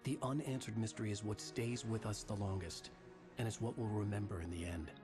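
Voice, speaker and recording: An actor playing a brooding male novelist who narrates his own nightmare in a measured, somber voice over game music. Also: lowish voice, steady tone, close to an everyday voice. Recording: game audio with music behind.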